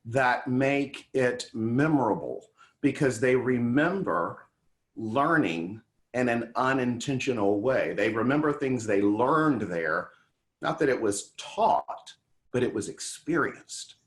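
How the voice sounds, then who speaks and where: A man's voice: mid-range voice, animated, takes it slowly. A man hosting a conversational TV interview, speaking in an earnest, effusive and affirming way. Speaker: TV show host, interviewer.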